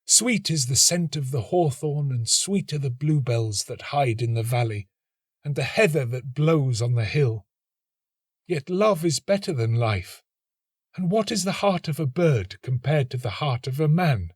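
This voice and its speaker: A man's voice: medium pitch, expressive, measured pace, breathy edge, no room sound, clean studio sound, pitched above the performer's own voice. A man voicing a small, selfless female nightingale in a storybook, speaking in an earnest, lyrical, passionate way. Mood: wistful resolve. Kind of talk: storybook character acting.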